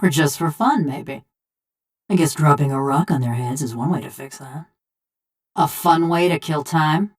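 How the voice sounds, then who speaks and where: A woman's voice: low voice, moderate pace. A woman voicing a wry, vengeful female machine of brass in a video game, with a folksy, drawling American accent. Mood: sardonic. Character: sardonic, vengeful female construct of brass, a killer. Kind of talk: video game character voice lines.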